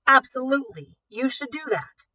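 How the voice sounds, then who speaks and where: A woman's voice: sits high, animated, normal speed, bright, forceful tone, no echo, pitched above the performer's own voice, exaggerated voice. A woman voicing a pompous male museum director from a storybook, formal and full of authority. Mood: barking.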